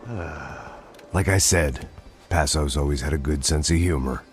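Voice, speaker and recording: An actor playing a weary, hard-drinking former cop who narrates in a bleak, sardonic way for a video game cutscene. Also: male voice, very deep voice, unhurried, soft background music. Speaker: noir narrator and lead character. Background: loud cinematic score.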